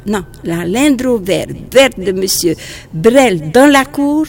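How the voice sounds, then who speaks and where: Slow gravelly Polynesian woman. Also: a little high, lively intonation, slight background noise. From French Polynesia. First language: Tahitian. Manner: halting, restarts phrases, gentle. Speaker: nun and teacher. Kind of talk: outdoor interview recollection.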